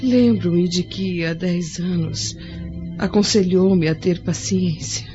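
A woman's voice: fairly low pitch, slow. An actress playing a frail, blind, melancholy Roman noblewoman in a radio drama, wistful and earnest. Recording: dull lo-fi recording, music behind.

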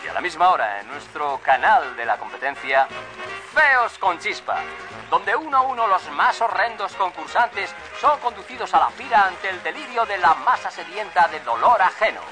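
An actor playing a manic, gleefully cruel TV presenter in a satirical radio drama, theatrical and mocking. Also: male voice, sits high, sing-song, normal speed, rough recording. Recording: loud crowd and music behind.

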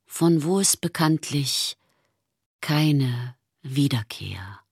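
A woman's voice: rumbling low, animated, very slow, a little mellow, husky, no echo, studio quality, full character voice. A woman playing a solemn storyteller narrating in a radio play. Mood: solemn, hushed. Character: solemn female storyteller delivering an epilogue.

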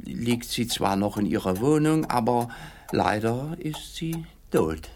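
An actor playing an odd, guileless middle-aged East German client in a comic radio play, hesitant, rambling and naively literal. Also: male voice, medium pitch, lively intonation, slow pace, a little mellow, a little hiss or hum. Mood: naive, matter-of-fact.

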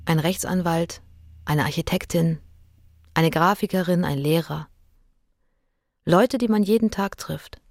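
A sober female narrator reads in a radio drama. Her voice is fairly low with plenty of fry, recorded dry and close. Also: measured pace, long pauses, darkish tone, dry recording.

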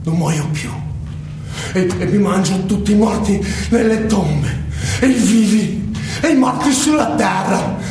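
An actor playing an unhinged, foul-mouthed young delinquent in a stage monologue, halting and repetitive, swinging between muttering and shouting. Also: male voice, high voice, expressive, noisy background. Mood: brooding. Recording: echoey stage, distant mic, loud music.

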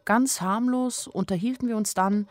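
A woman's voice: medium pitch, normal speed, dry recording, lightly acted. An actress playing a naive young woman who tells her story candidly and wryly in a radio play. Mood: anxious musing. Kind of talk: radio play first-person narration.

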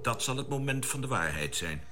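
Guy playing a spaceship captain in old radio sci-fi, terse n bossy, pitch moves a lot, noisy recording. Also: sing-song, brisk, lightly acted. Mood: calm, inquiring. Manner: terse, commanding delivery. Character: commanding male spaceship pilot captain, decisive, responsible. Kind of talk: Dutch science-fiction radio drama acting.

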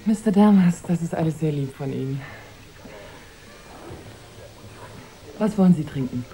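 A woman's voice: low voice, animated, fast talker, mellow. An actress playing a young, worldly married woman in a radio drama, wry, composed and wistful. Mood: polite, wistful.

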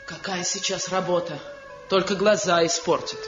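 A woman's voice: fairly low pitch, steady tone, normal speed, faint music behind, lightly acted. On a dull vintage radio play recording, an older village matriarch, played by an actress speaks in bitter reproach. She nags and scolds her household with an aggrieved air. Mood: bitter, reproachful. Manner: reproachful, nagging. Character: bitter, scolding old village mother-in-law. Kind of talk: 1970 radio drama acting.

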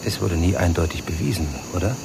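An actor playing a sceptical, confrontational man with a gravelly voice in a radio crime drama. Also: sits low, fast, noisy background. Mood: cool, accusing.

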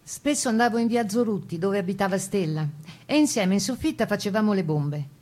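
A woman performing a partisan's wartime testimony as a plain, measured monologue for a radio drama. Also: mid-range voice, expressive, darkish tone, lightly acted. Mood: matter-of-fact. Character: Italian woman partisan recounting wartime escape and sabotage.

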